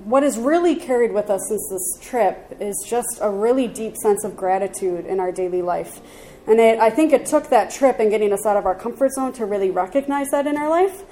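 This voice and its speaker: A woman's voice: mid-range voice, mellow, poor audio. A woman co-presenting a casual live talk, earnest, leaning heavily on the word really.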